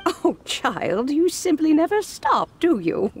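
A teasing old granny. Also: female voice, sits high, sing-song, slow, slight background noise, full character voice. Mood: fond. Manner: warm, fond, amused. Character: kindly old grandmother, gently teasing. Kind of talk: video game voice acting.

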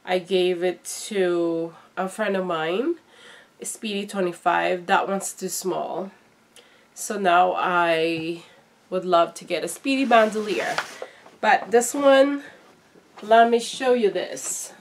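Slow-paced, slightly congested woman vlogger. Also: lowish voice, a little hiss or hum. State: slightly congested, recovering from flu. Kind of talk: casual unboxing vlog. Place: small indoor room at home.

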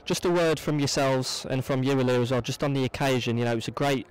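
Guy doing post match interview questions, really clean smooth voice, sounds like a presenter. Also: medium pitch, fast, low-quality recording. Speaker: club interviewer.

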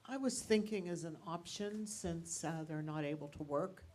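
A woman speaking up at a congregation meeting, soft-spoken and friendly. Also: on the low side, normal speed. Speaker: congregation member.

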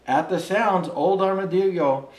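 An older man reads a children's storybook in an expressive, unhurried way. His voice sits a little high for a man, the pace is slow, and the room adds noticeable echo. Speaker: storyteller dressed as Santa Claus. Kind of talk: reading a children's picture book aloud. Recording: roomy indoor echo.

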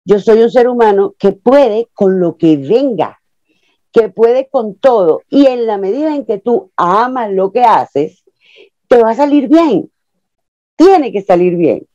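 A woman's voice: on the high side, takes it slowly, no echo. A woman in her sixties from Venezuela, an actress chatting expressively as a guest over a video call from a small room at home. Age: 60s. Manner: expressive, animated storyteller with lots of hand gestures. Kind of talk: casual interview chat. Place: small room at home, plain wall behind. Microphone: earphone mic on a video call. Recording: dull, lo-fi video call audio.

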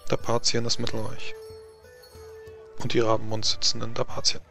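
Man narrating a tabletop game, halting delivery. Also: medium pitch, completely flat delivery, vocal fry, pushed and bright, soft background music. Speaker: game master.

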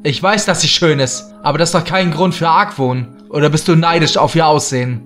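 A man's voice: on the high side, fairly quick, fairly bright tone, pitched above the performer's own voice, lightly acted. Horror story role: brash young womanizer, tipsy, slangy, done by a man, light music bed. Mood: angry, confrontational. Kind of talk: horror audio story acting.

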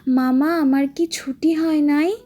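A woman's voice: high-pitched, fairly even intonation, a little slow, dark tone. A woman voicing a sick, homesick village boy in an audio story, plaintive and feeble. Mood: feverish pleading.

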